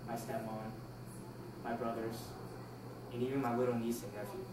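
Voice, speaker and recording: Young male speaker, born in Taiwan, measured storytelling delivery, mid-pitched, recorded from far off. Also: flat delivery. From born in Taiwan, moved to the United States at six. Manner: deliberate, measured storytelling with pauses. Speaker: speech club member giving a speech. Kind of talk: prepared personal speech to an audience. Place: indoor room, recorded from a distance. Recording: roomy, distant mic with faint noise.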